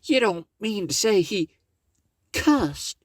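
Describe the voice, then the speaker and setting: Man putting on a shrill prim lady. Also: very animated, slow pace, dry recording, studio quality, heavily acted. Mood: scandalized. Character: prim fussy female lady hostess.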